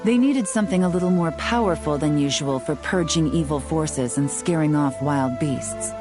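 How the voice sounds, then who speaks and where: Actress as a wry self-assured game heroine, low voice, music clear behind. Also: female voice, normal speed, natural voice. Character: confident sharp-witted young woman from a trading harbor. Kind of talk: video game voice acting.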